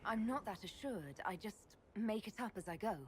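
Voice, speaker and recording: A woman's voice: mid-range voice, normal speed, a little hiss or hum, natural voice. An actress voicing a video game's player character, a woman who speaks in a dry, wry and modest way. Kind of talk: video game dialogue.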